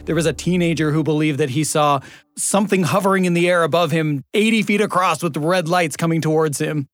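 A man's voice: fairly high pitch, a little fast, no room sound. A man hosting a podcast, presenting in a scripted narration and chat style. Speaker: podcast host.

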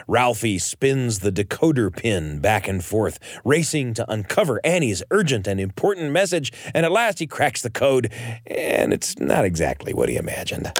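Male radio host, lively swooping intonation, mid-pitched, dry close sound, scripted storytelling, dramatic emphasis. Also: moderate pace, no echo.

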